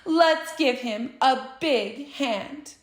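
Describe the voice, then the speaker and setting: A brisk, pushy fox showman who has no time for anyone, as a woman storyteller puts him on. He snaps his words out high, and the room echoes. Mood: impatient, showy. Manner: brisk, dismissive. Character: impatient, slick male fox showman in charge. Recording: roomy echo.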